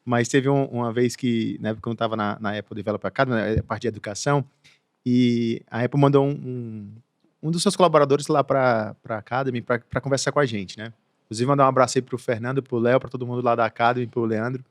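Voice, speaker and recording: A man's voice: mid-range voice, steady tone, quick pace, dry recording, clean studio sound. A Brazilian man hosting a casual podcast chat, rambling with many restarts and fillers and laughing through his stories. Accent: Brazilian Portuguese. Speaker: podcast host.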